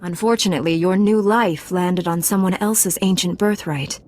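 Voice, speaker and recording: A woman's voice: on the low side, normal speed, darkish tone, lightly acted. A woman playing a resolute, candid knight in a video game, with a firm, direct manner. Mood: composed, frank. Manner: firm, self-assured, direct. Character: resolute, candid young female Jedi knight. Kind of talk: scripted video game dialogue.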